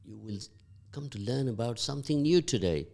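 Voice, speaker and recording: A man hosting a community radio breakfast show, chatting in a rambling, halting way with many fillers. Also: medium pitch, lively intonation, creaky, mellow. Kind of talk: casual radio chat.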